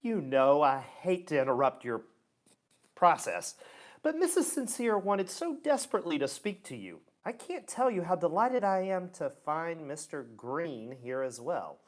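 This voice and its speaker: A man's voice: a little high, very animated, a little slow. A man playing a smug, meddling Broadway theater critic in a radio play, pompous and insinuating. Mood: smugly polite.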